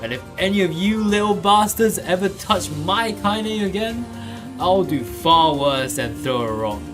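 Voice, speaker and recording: A man voicing a fierce, foul-mouthed old grandmother who shouts threats in crude slang. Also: high-pitched, animated, a little slow, over music, pitched above the performer's own voice. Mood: threatening.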